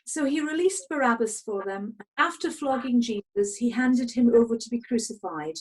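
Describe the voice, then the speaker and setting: High, husky woman reading scripture. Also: lively intonation, moderate pace, rough recording. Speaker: narrator in a congregation's Passion reading. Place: remote reading over a video call. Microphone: video call.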